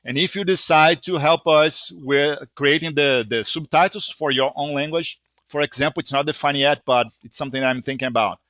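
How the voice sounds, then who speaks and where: A man's voice: a little high, moderate pace, a bit bright, no echo. A man with a Brazilian Portuguese accent, a native Portuguese speaker, earnestly answering user questions at length with frequent restarts. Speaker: software company founder answering user questions. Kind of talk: live Q&A explanation.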